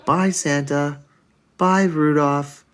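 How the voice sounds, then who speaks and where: Man voicing an excitable little hare, slow and earnest. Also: a little high, clean tone, pitched above the performer's own voice. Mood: cheerful. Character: excitable, worrying young male hare in a storybook.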